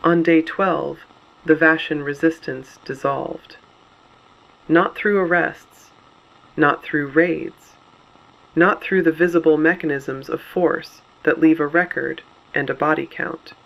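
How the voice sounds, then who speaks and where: A man playing a grave resistance broadcaster, narrating a scripted dystopian radio broadcast in a measured, deliberate, solemn way. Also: fairly high pitch, fairly even intonation, vocal fry, a bit bright, heavily acted, rough recording. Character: grave adult male resistance broadcaster reporting on fallen cities.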